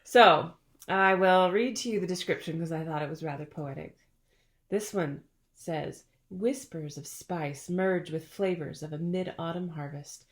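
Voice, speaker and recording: A middle-aged woman hosting a casual chat, telling stories in a chatty, playful way and laughing often. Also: deep voice. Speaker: podcast host.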